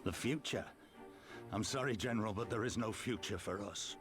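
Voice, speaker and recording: A man acts a despairing resistance officer in a fantasy game. The voice is low, weary and bitter, speaking with wounded passion over clearly audible music. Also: normal speed, close to an everyday voice. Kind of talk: dramatic video game voice acting.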